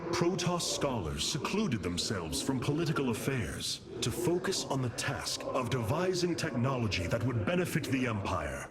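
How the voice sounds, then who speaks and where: Noble, expressive alien commander, acted by a man. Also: medium pitch, normal speed, hardly any pauses, very noisy, natural voice. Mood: measured. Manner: formal, earnest, commanding. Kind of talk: dramatic video game cutscene acting.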